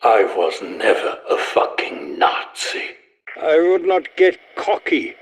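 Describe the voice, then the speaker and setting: An actor playing a resentful old scientist in a video game, speaking in a formal, wordy, dryly sardonic manner. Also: male voice, medium pitch, big pitch swings, slow, vocal fry, slightly rough, heavily acted. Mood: dry, bitter. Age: older. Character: resentful old German scientist, erudite, fussy, sardonic, excitable. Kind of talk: video game character voice lines.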